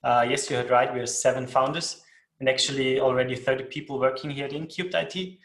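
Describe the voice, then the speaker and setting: A man from Austria with an Austrian accent, presenting his company as a founder in a webinar, steady with "um" fillers. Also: medium pitch, fast. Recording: roomy echo.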